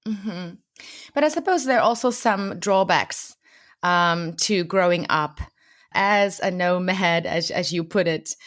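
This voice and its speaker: A woman's voice: lowish voice, slow, a bit bright. A woman hosting a podcast, reading a guest introduction and then interviewing her guest in an encouraging way. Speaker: podcast host. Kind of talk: casual interview.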